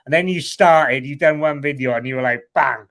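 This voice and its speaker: A man's voice: medium pitch, normal speed, fairly bright tone. Frank Welsh man, rambling. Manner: frank and rambling, swears casually. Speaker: guest, online seller. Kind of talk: candid interview chat.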